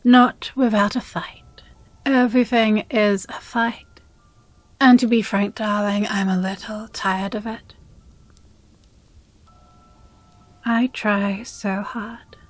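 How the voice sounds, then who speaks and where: A woman playing a possessive, jealous demon girlfriend in an intimate audio roleplay, breathy and often whispering, with condescending sweetness. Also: medium pitch, steady tone, no echo. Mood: weary, coaxing. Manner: slow, deliberate, sighing, condescendingly sweet.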